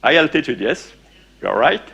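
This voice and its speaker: A man lectures a conference audience in English. He talks haltingly and restarts his sentences often, with plenty of uh and eh. Now and then he stops to ask the room a question. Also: medium pitch, big pitch swings, creaky, pushed and bright, breathy edge, highs cut off. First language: French. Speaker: conference speaker.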